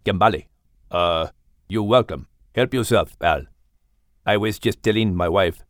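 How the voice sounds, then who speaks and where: Deep, mysterious big panther. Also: no room sound, clean studio sound. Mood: friendly, calm. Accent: Malaysian. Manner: calm, unhurried, polite. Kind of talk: children's storybook character voice.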